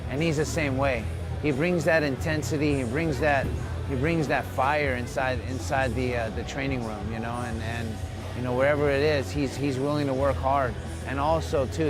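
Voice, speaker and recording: Upbeat rambling man over background music. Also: mid-range voice. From Philippines. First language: Tagalog. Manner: rambling, full of "you know" and "man" fillers, upbeat. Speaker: mixed martial arts fighter, interviewee. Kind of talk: casual interview answers. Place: gym.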